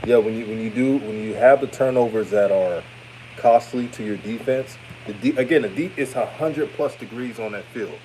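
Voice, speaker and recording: Man, 30s, deep voice that reads older, casual live-stream sports talk, noisy hum behind. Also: normal speed. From Houston, Texas. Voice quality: deep voice that sounds older than his age. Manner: relaxed, chatty, repeats himself, trails off. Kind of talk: casual live-stream hot takes, reading chat comments. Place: his room after a game.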